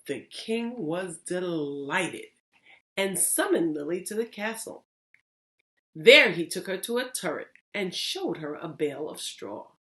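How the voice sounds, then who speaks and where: A woman hosting storytime, reading a children's story aloud with expressive, deliberate phrasing. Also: on the low side. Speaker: storytime host, reading teacher.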